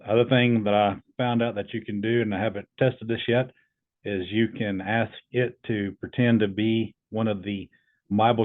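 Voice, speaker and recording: A man's voice: lowish voice, narrow, tinny audio. A man hosting a casual demo, talking informally and hesitantly as he tests a voice assistant. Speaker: video host testing a voice assistant.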